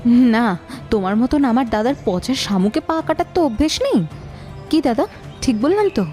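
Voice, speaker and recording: An actress playing a wronged, indignant married sister in an audio drama, accusing and insistent. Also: female voice, fairly high pitch, expressive, moderate pace, warm tone, soft background music, lightly acted. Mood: hurt, resolute. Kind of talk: audio story drama acting.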